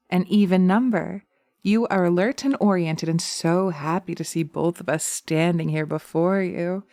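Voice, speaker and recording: Woman playing a sly, mellow, seductive hypnotist witch. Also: mid-range voice, no room sound, studio quality. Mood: soothing, commanding. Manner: slow coaxing, soothing, intimate, often slipping into whispers. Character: sly, seductive, vengeful female witch casting a hypnotic spell. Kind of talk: hypnosis roleplay audio. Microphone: close binaural mic, dry.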